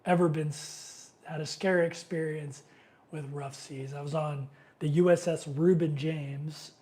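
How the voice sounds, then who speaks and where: A man's voice: on the high side, fairly even intonation, a little slow, dark tone. Sniffly middle-aged man chatting live. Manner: loose and rambling, many fillers, reads viewer comments aloud. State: congested, sniffling with a cold. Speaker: live stream host. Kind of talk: casual live Q&A chat.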